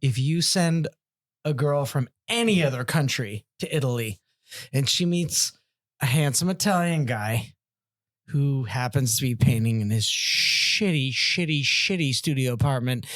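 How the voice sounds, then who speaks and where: A man's voice: medium pitch, a little slow. A man co-hosting a casual comedy podcast, rambling through profane anecdotes. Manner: rambling, profane, lively storytelling. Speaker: podcast co-host.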